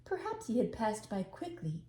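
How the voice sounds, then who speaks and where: Mellow older woman reading a story. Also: on the high side, huge pitch swings, normal speed. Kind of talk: expressive storybook narration. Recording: echoey room, faint background noise.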